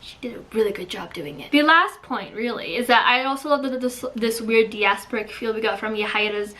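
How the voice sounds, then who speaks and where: Casual female book reviewer, higher voice, chatty with lots of fillers, mic a little distant. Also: fairly quick, sharp tone, slight background noise. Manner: chatty, filler-heavy with frequent "like".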